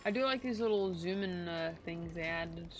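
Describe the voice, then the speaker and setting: A woman's voice: on the low side, moderate pace, slight background noise. A woman streaming a game, giving casual commentary in a playful, slangy way.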